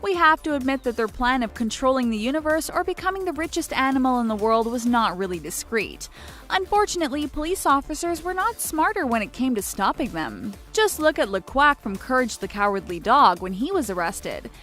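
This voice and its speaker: A woman narrates a scripted countdown video in a high voice. Her intonation is lively, swinging up and down through each sentence, with faint music playing behind her. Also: brisk.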